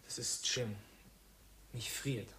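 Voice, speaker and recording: An actor playing a hungry, weary young male beggar in a German radio drama, pleading in halting phrases. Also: medium pitch, steady tone, fairly bright tone. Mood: weary, subdued. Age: young adult. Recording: distant from the microphone.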